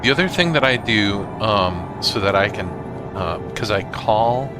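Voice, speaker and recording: A man giving a casual software tutorial, thinking aloud in an informal, halting way. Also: on the low side, animated, over music. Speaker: tutorial host.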